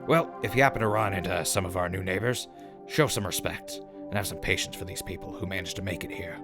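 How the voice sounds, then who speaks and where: A man portrays an easygoing ghoul radio host in a post-apocalyptic drama. The voice sits low and rasps with fry. The patter is calm and friendly, over clearly audible music. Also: fast talker. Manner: calm, affable, conversational.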